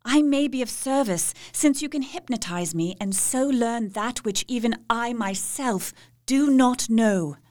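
A haunted young wife, played by a woman, intense and resolute. She speaks slowly and with weight, at a fairly high pitch. An audio drama role. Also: darkish tone, lightly acted. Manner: intense, pleading, measured.

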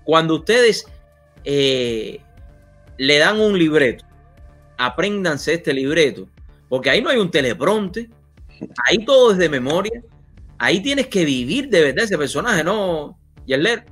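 A man's voice: on the high side, big pitch swings, unhurried, a bit bright, faint music behind. A man hosting an interview, talking in a rambling, opinionated way with many fillers. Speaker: interview host. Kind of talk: conversational interview.

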